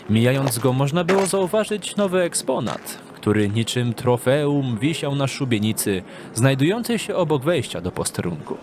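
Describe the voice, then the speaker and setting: A man narrating a scripted dark fantasy audio drama in a measured storytelling manner. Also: mid-range voice, noisy background.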